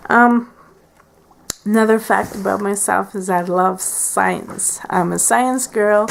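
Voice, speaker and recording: Woman, 40s, home vlog. Slow, hesitant, gravelly, lots of fillers. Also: mid-range voice, fairly even intonation, slight background noise. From Canada. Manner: hesitant, many fillers and restarts. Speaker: beauty vlogger. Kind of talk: casual tag video chat. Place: at home by a window.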